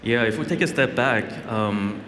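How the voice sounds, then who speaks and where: A man's voice: lowish voice, normal speed, fairly bright tone. A man in his thirties from Sweden, answering casually as an interview guest on stage. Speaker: tech founder, interview guest. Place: event stage in a large venue. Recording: echoey large hall, faint noise.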